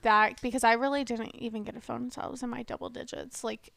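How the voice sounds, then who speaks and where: A woman in her twenties co-hosting a podcast in casual chat, soft-spoken and rambling with lots of "like". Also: fairly high pitch, steady tone, fast talker, gravelly, dry recording, clean studio sound. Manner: soft-spoken, quieter than her co-host; rambling, heavy use of "like". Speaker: podcast co-host.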